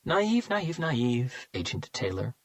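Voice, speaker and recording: A man's voice: mid-range voice, big pitch swings, dry recording. A man voicing a smug male serial killer who mocks and savors his words, in an audiobook reading. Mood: smug, taunting. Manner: mocking, slow and savoring.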